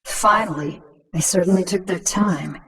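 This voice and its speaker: A woman's voice: on the low side, moderate pace, distant mic, a crowd in the background, lightly acted. A woman voicing a ruthless, sardonic alien-hybrid queen in a video game, speaking with mocking, commanding menace. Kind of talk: scripted video game voice acting.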